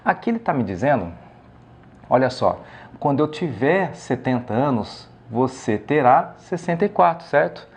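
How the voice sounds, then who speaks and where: A man's voice: mid-range voice, big pitch swings, normal speed, darkish tone, slightly rough. A man teaching math, explaining a problem step by step and often checking in with the listener. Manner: frequent "tá?" and "ok?" check-ins, step by step.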